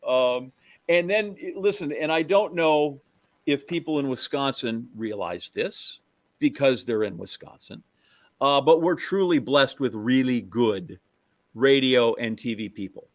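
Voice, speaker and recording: A middle-aged man with a medium-pitched voice in a dry, close sound. He gives opinions on sports casually, circling and repeating words as he goes. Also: no room sound. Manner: rambling, halting phrasing with repeated words. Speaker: podcast co-host and TV sportscaster.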